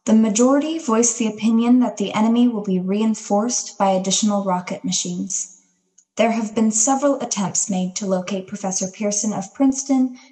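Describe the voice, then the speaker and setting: A woman's voice: mid-range voice, a little mellow, lightly acted, rough recording. A woman playing a grave radio announcer, reading formal, solemn news bulletins in a radio play. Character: grave female radio announcer reporting an alien invasion.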